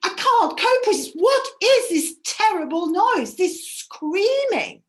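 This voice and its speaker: Brief: woman doing proud goddess, imperious, higher and louder than the narration. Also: sing-song, normal speed. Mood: distressed. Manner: imperious, urgent. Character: proud young goddess, commanding and determined.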